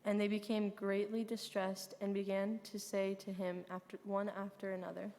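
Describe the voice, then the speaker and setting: In a reverberant church hall, a woman reads scripture narration at a middling pitch. She occasionally trips on a word and corrects herself. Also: flat delivery, moderate pace, warm tone. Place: large church during a service.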